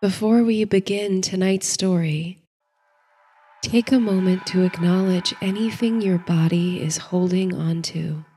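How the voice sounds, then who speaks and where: Female sleep-story guide: soft mellow tone, very unhurried, hushed and calming delivery. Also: on the low side. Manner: soothing, hushed, gentle, unhurried. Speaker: host and narrator of a sleep story series. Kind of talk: bedtime story and guided meditation narration. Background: faint music.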